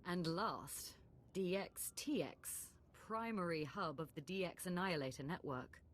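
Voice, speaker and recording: A woman's voice: on the low side, a little hiss or hum, natural voice. A woman voicing a formal imperial diplomat. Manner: measured, formal and polite. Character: poised female imperial diplomat, formal and courteous. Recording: game audio with faint ambience.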